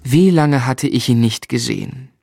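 An actor narrating a German radio drama as a man looking back on his youth, in a measured, reflective literary style. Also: medium pitch, gravelly, a little mellow, slightly rough. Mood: fond recollection.

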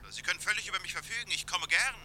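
A man's voice: sits high, rapid-fire, sharp tone. An actor playing a polite, reassuring male acquaintance over the telephone in a German radio play. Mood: solicitous. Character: solicitous, eager male acquaintance offering comfort.

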